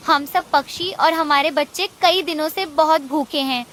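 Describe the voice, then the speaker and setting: A woman voicing a hungry female forest bird, earnest and imploring, in an animated children's story. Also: sits high, normal speed, slight background noise. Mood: pleading.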